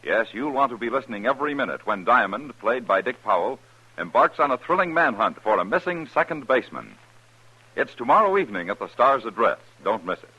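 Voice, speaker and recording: A man's voice: mid-range voice, sing-song, brisk, sharp tone. A man announcing in punchy, dramatic 1950s radio style, reading a scripted promo. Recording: dull, rough old radio recording.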